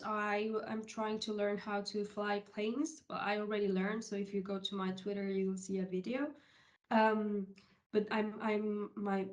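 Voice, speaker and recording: Young Uruguayan woman presenting, Spanish-accented. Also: medium pitch. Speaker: presenter, HR technology consultant. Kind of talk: informal online conference presentation. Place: online video call session.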